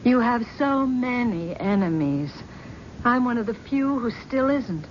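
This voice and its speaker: An actress playing a bitter, wealthy wife with a sarcastic, needling manner in a 1970s radio drama. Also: female voice, fairly high pitch, animated, unhurried. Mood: weary. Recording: dull old radio broadcast with faint hiss.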